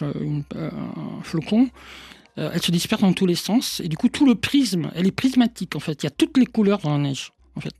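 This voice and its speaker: Hesitant woman, slight fry. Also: fairly low pitch, darkish tone, dry recording. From Portugal. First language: Portuguese. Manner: hesitant, restarts often, many "en fait" fillers. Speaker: author guest. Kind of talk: reflective radio interview answers.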